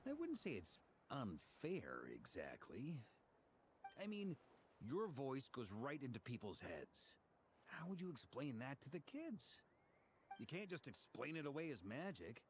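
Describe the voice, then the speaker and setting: Wildly animated, flustered self-styled knight. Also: mid-range voice, measured pace, a little hiss or hum. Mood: protesting. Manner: expressive, stammering, protesting. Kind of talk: video game voice acting.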